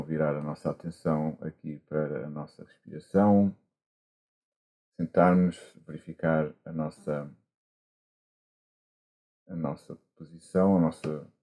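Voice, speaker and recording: A man with a European Portuguese accent, a monk guiding a meditation gently and haltingly, with long pauses, over a video call. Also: rumbling low. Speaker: Buddhist monk, meditation teacher. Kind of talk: guided meditation instruction. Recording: muffled, dull online call audio.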